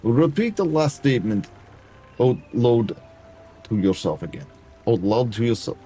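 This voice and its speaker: Guy doing a put-on dictator voice while he builds in a game, lively pitch, soft music underneath. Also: a little slow, breathy edge. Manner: talks to chat in a mock Eastern European dictator voice, dropping articles. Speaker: game streamer. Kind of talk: casual gameplay commentary.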